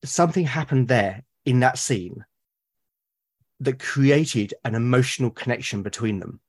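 A man from Britain, a podcast guest, talking eagerly about his characters, with lots of restarts and a slightly husky voice. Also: mid-range voice, lively intonation, unhurried. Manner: enthusiastic, rambling with many restarts. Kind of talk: casual podcast conversation.

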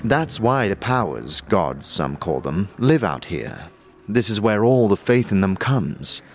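A man voicing a patient, learned sage in a fantasy audio drama, lecturing formally and deliberately. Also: fairly low pitch, big pitch swings. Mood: earnest, instructive. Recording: music behind the voice.

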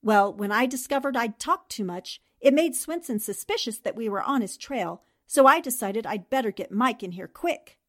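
Woman acting a newspaper columnist, high voice, self-assured, quick-witted, vintage radio drama style. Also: lively intonation. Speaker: voice actor.

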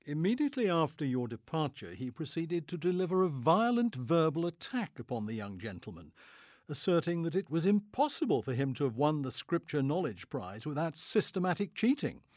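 A man voicing a calm, formal valet who reports events in measured, precise speech. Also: a little high, no echo, studio quality. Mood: composed. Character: unflappable, formal adult male valet.

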